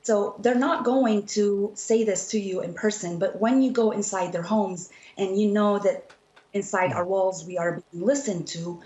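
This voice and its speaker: A woman's voice: medium pitch, fairly even intonation, a little slow, dark tone, echoey room. A woman from Syria speaking as an activist in an impassioned televised political debate, emphatic and insistent, repeating her phrases.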